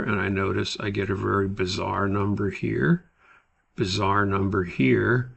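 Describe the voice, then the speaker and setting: Low-voiced man with heavy vocal fry. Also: fairly bright tone, slight background noise. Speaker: instructor. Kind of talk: spreadsheet tutorial walkthrough, explanatory.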